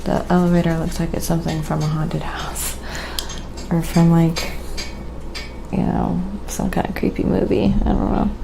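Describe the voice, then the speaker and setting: A soft-spoken, sometimes whispery woman chatting casually on an ASMR painting livestream, musing aloud in an unhurried way. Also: on the low side, fairly even intonation, gravelly, background noise.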